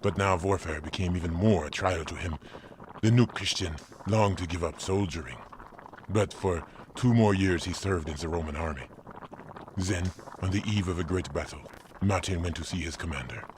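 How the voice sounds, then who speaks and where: A man's voice: low voice, moderate pace, noisy background. A man narrating a scripted audio drama as its storyteller.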